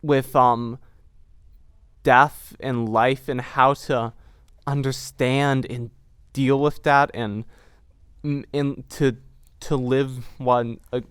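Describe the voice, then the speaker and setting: A man's voice: mid-range voice, steady tone, slow pace, pauses often, a bit bright, clean tone, no room sound, clean studio sound. A young man talking reflectively in an interview, earnest and searching for words, often repeating himself. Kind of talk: reflective interview talk about a production.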